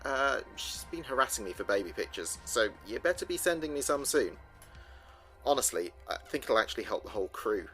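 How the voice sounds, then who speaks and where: Earnest spaceship officer played by a man, lively intonation. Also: mid-range voice, a little fast, faint music behind. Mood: warm, chatty. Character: young-ish male space communications officer, earnest and measured. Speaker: communications officer keeping a mission log. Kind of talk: audio drama log narration.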